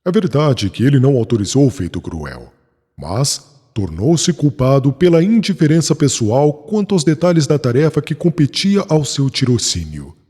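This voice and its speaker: A man's voice: medium pitch, big pitch swings, moderate pace, mellow, husky. A man voicing a remorseful young former persecutor in an audiobook, speaking solemnly and formally. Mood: judging. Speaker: voice actor in an audiobook.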